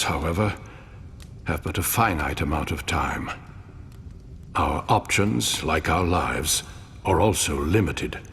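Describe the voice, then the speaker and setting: Very deep, slow, solemn ruler. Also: male voice, vocal fry, fairly bright tone, exaggerated voice. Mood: grave, resigned. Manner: measured, formal, deliberate. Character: weary mortal male ruler, grave, idealistic yet pragmatic. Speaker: voice actor in a game cutscene. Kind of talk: dramatic game cutscene acting. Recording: long hall reverb, low rumble.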